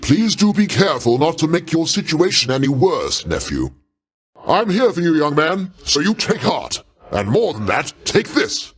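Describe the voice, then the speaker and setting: An actor playing a proud, paternal older god in a video game, speaking in a pompous, avuncular way with formal, theatrical diction. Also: male voice, a little high, wildly swooping pitch, normal speed, breathy edge, heavily acted. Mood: encouraging, proud. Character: grandiose, paternal older male Greek god, proud, easily offended.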